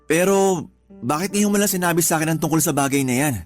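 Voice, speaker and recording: A man's voice: a little high, quick pace, natural voice. An actor playing a patient but exasperated live-in boyfriend in a Tagalog radio drama, earnest and reproachful as he reasons. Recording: music bed behind the voice.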